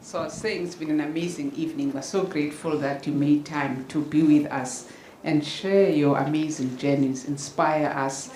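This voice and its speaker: Female ceremony presenter, South African accent, low register, emphatic and encouraging, slightly noisy live recording. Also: steady tone, normal speed. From Eastern Cape, South Africa. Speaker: presenter giving thanks and honours. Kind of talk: unscripted ceremony speech. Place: live event venue.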